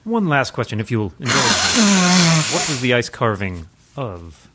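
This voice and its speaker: A man's voice: medium pitch, wildly swooping pitch, moderate pace, very noisy. A man playing a suave, pompous playboy who is secretly a mysterious crimefighter, in theatrical old-time radio parody style. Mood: polite, insistent. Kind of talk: parody of 1940s radio drama.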